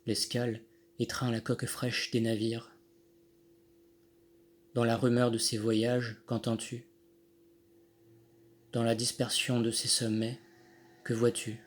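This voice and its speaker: Slow-paced man reciting poetry. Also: medium pitch, monotone. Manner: measured, deliberate phrasing with pauses. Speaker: poet reading own poem. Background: Handel music accompanies the reading.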